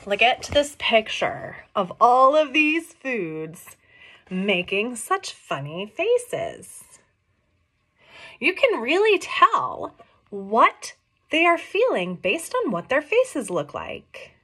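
A middle-aged woman reading a picture book aloud to young children, expressive and questioning, explaining things simply as she goes. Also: on the high side, slow pace. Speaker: read-along storyteller for young children. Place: small room at home.

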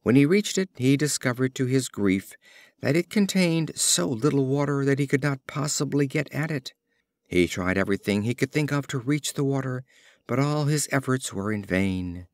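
A man reads storybook fables in a deliberate, theatrical way. His pitch swings up and down expressively, and the recording is dry and close. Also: expressive, no echo. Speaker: audiobook narrator.

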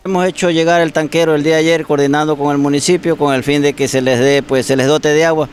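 A man's voice: on the high side, fairly even intonation, fast, a little hiss or hum. A man with an Ecuadorian accent, a water utility manager answering interview questions hesitantly, with fillers. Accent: Ecuadorian Spanish. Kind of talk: news interview.

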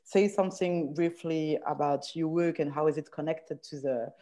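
Hesitant lower-voiced woman moderator. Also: fairly even intonation, normal speed, warm tone. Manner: hesitant, repeats words before going on. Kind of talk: introducing a guest on a video call. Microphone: laptop mic on a video call.

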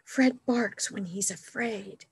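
A woman voicing an excitable little boy who talks in a high, eager and wondering way. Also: slow, creaky, slightly rough, dry recording, exaggerated voice.

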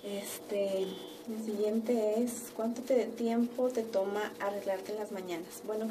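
Slow-paced Mexican woman vlogger, distant noisy mic. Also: medium pitch. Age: young adult. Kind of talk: casual chat to camera. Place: living room at home.

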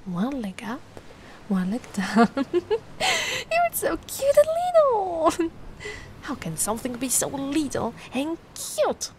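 Woman doing a sweet giant mermaid for asmr roleplay, gushy n curious, highish voice, some noisy ambience behind. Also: wildly swooping pitch, takes it slowly, heavily acted. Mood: adoring. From Italy. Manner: cooing, gushing, gentle. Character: giant young female mermaid, sweet, curious, doting, excitable. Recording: noticeable ambient background noise.

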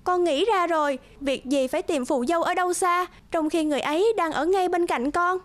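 An actress playing a sweet, earnest young bride-to-be in a cartoon, youthful and expressive. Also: female voice, very high voice, brisk. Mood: earnest, pleading. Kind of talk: cartoon voice acting. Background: music.

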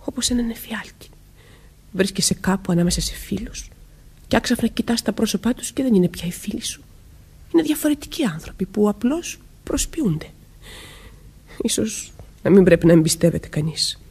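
Radio mystery play: young wife, anxious and earnest, very husky and breathy, halting dramatic delivery. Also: female voice, mid-range voice, expressive, darkish tone. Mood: fearful, doubting. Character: Molly, anxious young married Englishwoman in a murder mystery. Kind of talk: Greek radio theatre acting.

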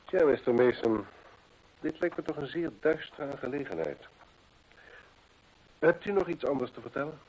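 An actor playing a shrewd, courteous gentleman detective in a radio play, formal, measured and probing. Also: medium pitch, animated, slightly rough, dull audio. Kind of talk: Dutch radio play acting.

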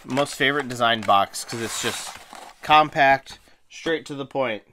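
A man hosting a card-opening video, chatting casually and a little hoarse from a cold, often restarting phrases and laughing as he talks. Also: mid-range voice, a little slow, pushed and bright. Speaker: card-opening channel host. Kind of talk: casual unboxing chat. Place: indoors at a table, hands on camera.

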